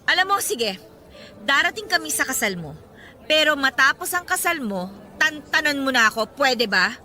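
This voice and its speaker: A woman's voice: sits high, very animated, moderate pace, sharp tone, heavily acted. An actress playing an indignant, sarcastic mother who feels bitterly wronged, in an emotional radio drama style. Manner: biting, sarcastic retorts.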